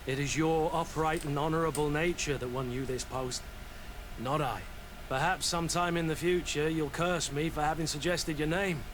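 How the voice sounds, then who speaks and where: An actor playing a loyal mercenary soldier bent on justice in a video game, grave and plain-spoken. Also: male voice, fairly high pitch, steady tone, normal speed, background noise, lightly acted. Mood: dry, respectful.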